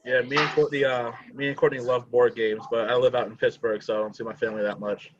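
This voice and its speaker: A man in his twenties chatting on a video call, enthusiastic about his hobbies and quick to laugh. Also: mid-range voice, little pitch movement, dull audio. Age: 20s. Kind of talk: casual chat on a video call.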